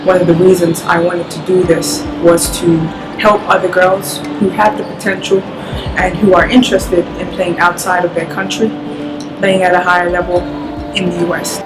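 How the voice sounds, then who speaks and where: Low, even-toned woman coach. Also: low-quality recording. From London. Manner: measured and earnest, leans on "you know". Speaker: basketball coach and team director. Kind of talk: interview talk to camera. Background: music.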